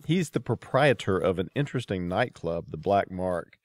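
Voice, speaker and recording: A man with a fairly low voice hosts a casual interview about books. He speaks hesitantly, pausing to find his phrasing. The recording is dry, with very little room sound. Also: lively intonation, dry recording. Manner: hesitant, feeling for words. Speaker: podcast host.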